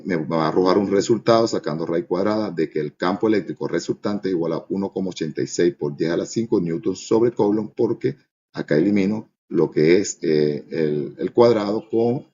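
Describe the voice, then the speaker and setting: Deep-voiced man teaching step by step. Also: a little mellow, lo-fi sound. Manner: didactic, often checks understanding with a short tag question. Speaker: physics teacher. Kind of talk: step-by-step lesson explanation.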